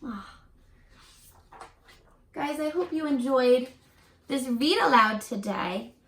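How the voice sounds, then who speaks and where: A woman doing an animated read-aloud for young children as a teacher, gentle and encouraging, pausing to put questions directly to the listener. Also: high voice, roomy sound. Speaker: teacher reading a picture book aloud to children.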